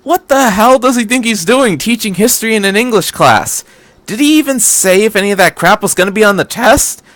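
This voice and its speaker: A man acts out a brash, scornful teenage boy from a story. The voice is raised in pitch and swings about as he grumbles in slang. The sound is dry and close. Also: lively intonation, brisk, a bit bright, no echo. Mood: indignant.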